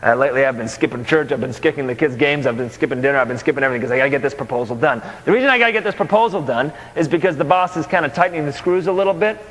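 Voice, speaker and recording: Man as harried businessman, high-pitched, echoing hall. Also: racing, hardly any pauses, fairly bright tone, close to an everyday voice. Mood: harried, wry. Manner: conversational, wry. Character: overworked, driven, exasperated businessman narrating his day. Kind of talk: first-person storytelling from a stage. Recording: echoey hall with long reverb.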